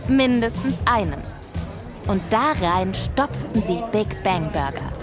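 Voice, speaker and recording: Music plays under a young girl narrator. She tells a children's adventure story slowly, in a medium pitch. The reading is scripted storytelling. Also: big pitch swings, a crowd in the background. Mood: wondering. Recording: music behind.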